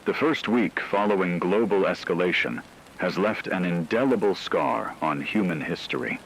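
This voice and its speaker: A man playing a solemn radio anchor, reading a scripted wartime news broadcast in a measured, formal way. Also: low-pitched, expressive, gravelly, a bit bright, a little hiss or hum, full character voice, low-quality recording. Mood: grave, resolute. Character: solemn male radio anchor reporting a world war.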